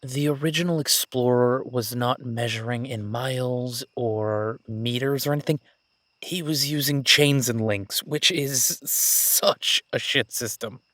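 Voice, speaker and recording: A man playing an excitable, nerdy young academic on his first jungle expedition in a roleplay audio drama, gushing, stammering and apologetic. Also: mid-range voice, unhurried, no room sound, close to an everyday voice. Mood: flustered, explanatory. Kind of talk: immersive roleplay audio drama, one-sided conversation.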